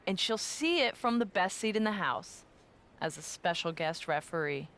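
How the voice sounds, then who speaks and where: A woman's voice: lowish voice, lively intonation, moderate pace, fairly bright tone. A woman playing a scheming, domineering wrestling faction leader in a video game cutscene, with a confrontational, self-important manner. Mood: defiant, smug.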